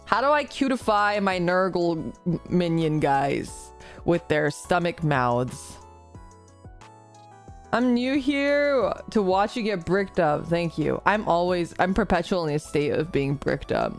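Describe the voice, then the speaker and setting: A woman's voice: fairly low pitch, animated, unhurried, soft background music. A woman streaming live, chatting casually and reading out her chat, slightly congested from a recent illness and rambling in a self-deprecating way.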